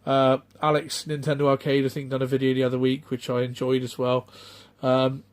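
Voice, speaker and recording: A middle-aged man, chatting off the cuff in a rambling, halting way full of ums and restarts. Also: medium pitch, monotone. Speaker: retro game collector vlogger. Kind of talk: casual off-the-cuff vlog chat.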